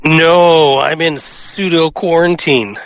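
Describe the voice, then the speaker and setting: A man co-hosting a podcast remotely over a gamer headset, stuffed up with a cold, easygoing and quick to laugh. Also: a little high, fairly even intonation, clean tone, dry recording. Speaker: podcast co-host. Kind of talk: casual chat. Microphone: gamer headset, recording remotely.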